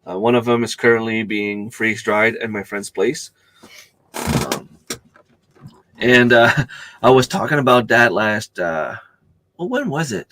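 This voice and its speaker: A middle-aged man with a hoarse voice from a cold, chatting casually on a home live stream and greeting viewers by name. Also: on the low side, animated, unhurried, pauses often, sharp tone, no echo. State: losing his voice from a cold. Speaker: channel host.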